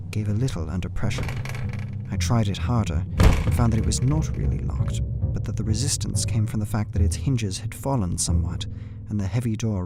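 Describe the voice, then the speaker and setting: A young Victorian gentleman, played by a male actor, recounts his journal as an uneasy prisoner in a gothic castle. His voice is fairly low and his delivery measured and literary, in radio play style, with prominent music underneath. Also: lightly acted. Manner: measured, literary, hushed in places. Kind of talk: dramatic first-person journal narration.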